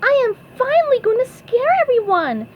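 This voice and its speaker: A woman voicing an excitable young male cartoon sea sponge with a squeaky, high-pitched voice. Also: normal speed. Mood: excited, triumphant. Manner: excitable, exclaiming. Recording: dull lo-fi, faint background noise.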